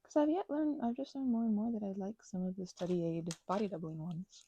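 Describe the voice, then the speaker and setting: A woman hosting an ASMR channel who drifts between whispers and soft, self-mocking chatter while she crafts. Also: medium pitch, brisk, breathy edge. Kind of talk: soft-spoken crafting ramble.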